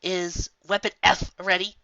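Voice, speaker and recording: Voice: mid-range voice, level tone, a little slow, vocal fry, pushed and bright, dry recording, dull audio, voice pushed up. A performer voicing an earnest, bossy female unicorn who gives precise, scolding instructions. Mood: businesslike.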